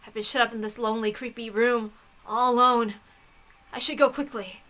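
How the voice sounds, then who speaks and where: A woman giving a dramatic, theatrical narrated reading of a story in an expressive manner. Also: fairly high pitch, normal speed. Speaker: reader of a visual novel.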